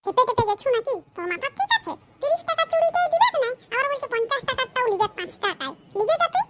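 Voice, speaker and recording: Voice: extremely high pitch, sing-song, fast talker, a bit bright, a little hiss or hum, heavily acted. An actor voicing a cheeky, bickering young villager in a comedy cartoon. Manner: teasing, scolding, bickering. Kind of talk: comic cartoon dialogue in village dialect.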